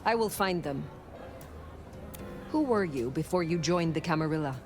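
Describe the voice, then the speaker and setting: A composed elder vampire woman in a video game. Her voice is a little low, her manner formal and reserved, and soft music sits behind her. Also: expressive, normal speed. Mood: inquiring.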